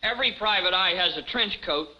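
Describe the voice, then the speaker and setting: A man's voice: sits high, brisk, sharp tone. A man playing a simple, eager young sidekick, boyish and earnest, in a 1950s television comedy. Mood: curious. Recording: dull vintage 1950s TV soundtrack.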